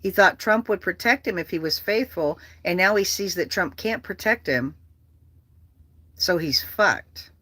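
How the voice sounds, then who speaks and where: A livestream host chatting casually and rambling, with an animated, profane manner and a habit of repeating phrases. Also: mid-range voice, a little slow, no room sound.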